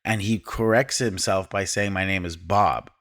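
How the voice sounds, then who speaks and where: A man's voice: low voice, normal speed, fairly bright tone. A man co-hosting a podcast, making long, earnest points while analyzing a film. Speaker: podcast co-host.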